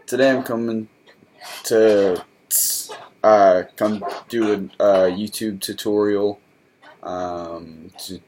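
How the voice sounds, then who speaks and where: A man giving a casual tutorial in a hesitant, rambling, halting way. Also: deep voice, darkish tone. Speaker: tutorial host. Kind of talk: casual tutorial talk to camera.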